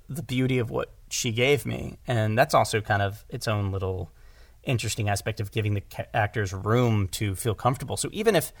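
A man talking casually as an audio drama director, animated and rambling, repeating words for emphasis and joking along. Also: medium pitch, creaky, no room sound, studio quality. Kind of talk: casual conversational discussion.

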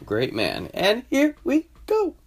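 A man's voice: high-pitched, huge pitch swings, slow, creaky, loud music behind the voice. A man hosting a podcast, chatting loosely and rambling after a few drinks. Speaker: podcast host. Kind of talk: casual chat.

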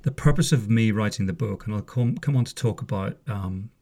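A man in his fifties from Northern Ireland talks casually at home, wry and easygoing, drifting into asides. His voice sits fairly low and has a gravelly edge. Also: fairly quick, mellow. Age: 50s. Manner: chatty, wry, wanders off on asides. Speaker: podcast host, former police officer. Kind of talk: casual spoken monologue. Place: home room.

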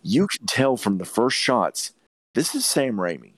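A man's voice: medium pitch, big pitch swings, measured pace, no echo. A man hosting a podcast, discussing films casually in an emphatic, opinionated way and swearing freely. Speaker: podcast host.

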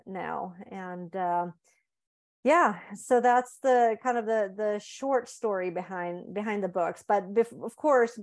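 Halting, thoughtful Swedish-born woman. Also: mid-range voice. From Sweden. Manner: thoughtful, halting, many fillers and restarts. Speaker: author and guest. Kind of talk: casual interview conversation.

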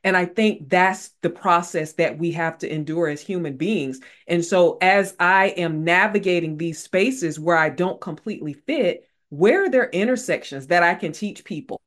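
A woman's voice: lowish voice, normal speed, hardly any pauses. A woman author speaking as a guest in a casual interview, earnest and repeating phrases for emphasis.

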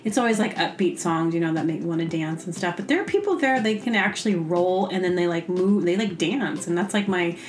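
Female podcast host, lowish pitch, informal rambling delivery, playful and conversational. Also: fairly quick, hardly any pauses. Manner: playful, informal, frequent "like" and "you know". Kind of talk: rambling casual solo chat.

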